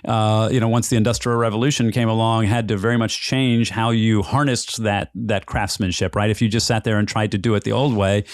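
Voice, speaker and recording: A man's voice: on the low side, steady tone, a little fast, few pauses, no room sound, clean studio sound. A man hosting a conversational podcast interview, explaining through extended analogies and checking in with rhetorical questions. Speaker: podcast host interviewing a guest.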